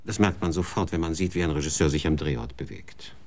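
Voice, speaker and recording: A world-weary male TV executive in a radio play, with a low voice and a blunt, sardonic way of talking. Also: fast. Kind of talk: radio drama acting.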